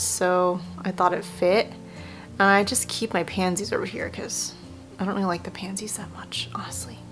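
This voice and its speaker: A woman's voice: lowish voice, a bit bright. A young woman talking casually over a game tour in a chatty, rambling way, her voice a bit worn, often dropping to a near whisper. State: voice a little worn. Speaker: video creator. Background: faint game music.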